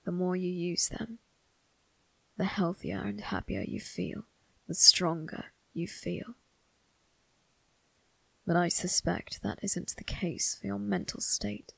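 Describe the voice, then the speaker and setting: A woman voicing a brooding, cold but protective young male vampire crime boss in an ASMR roleplay, speaking formally and deliberately in a raspy, breathy voice that dips into whispers. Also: on the low side, steady tone, bright, forceful tone, no echo, full character voice, low-quality recording. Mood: stern, protective. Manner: deliberate, formal, menacing, drawn-out phrasing. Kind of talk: ASMR roleplay, dramatic monologue addressed to listener.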